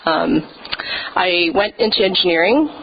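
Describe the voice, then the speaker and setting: A woman pastor speaks to her congregation with a somewhat low voice, telling her own faith story in a relaxed, conversational way full of small pauses. The sound is a dull, lo-fi church recording taken through the hall's microphone. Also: a little hiss or hum. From Canada. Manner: casual and conversational, with frequent hesitations. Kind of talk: personal testimony at a church service. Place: church sanctuary.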